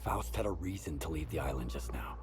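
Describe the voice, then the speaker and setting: An actor playing a hardened mercenary hero in a video game, speaking in a terse, stern way. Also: male voice, rumbling low, lively intonation, brisk. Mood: grim, urgent.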